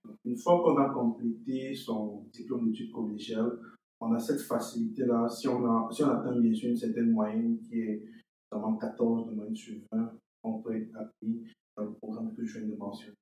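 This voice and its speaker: A man's voice: mid-range voice, flat delivery, normal speed, warm tone. Earnest man in an echoey room. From Cameroon. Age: young adult. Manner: earnest, repeats words while thinking. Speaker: podcast guest, entrepreneur. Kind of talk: conversational interview. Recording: echoey distant room sound.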